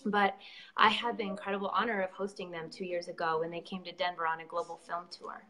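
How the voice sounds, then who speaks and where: A woman's voice: on the low side, flat delivery, fast talker, pushed and bright, poor audio. Effusive woman organizer. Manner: earnest and effusive, with many fillers. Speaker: event organizer. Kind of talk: live stream conversation.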